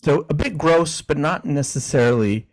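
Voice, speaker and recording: A man's voice: on the high side, normal speed. Easygoing man chatting on a podcast. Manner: easygoing, chuckling, frequent restarts. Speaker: podcast co-host. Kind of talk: casual chat, reading definitions aloud.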